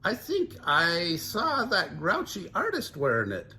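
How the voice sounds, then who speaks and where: Man playing a goofy young slacker, high squeaky voice, drawls "like", storybook voice. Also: wildly swooping pitch, a little slow, pushed and bright, exaggerated voice. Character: goofy jittery young man, always hungry.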